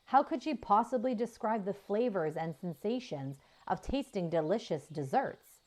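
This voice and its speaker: A woman's voice: mid-range voice, expressive, normal speed, darkish tone. A woman narrating a children's book as a scripted read-aloud. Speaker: storybook narrator.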